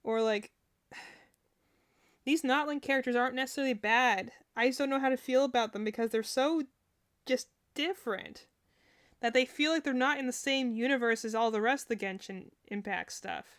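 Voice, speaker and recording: A woman's voice: sits high, normal speed, a bit bright, smooth. A woman giving casual reaction commentary as a streamer, rambling and thinking aloud as she goes. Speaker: streamer reacting to game character demos.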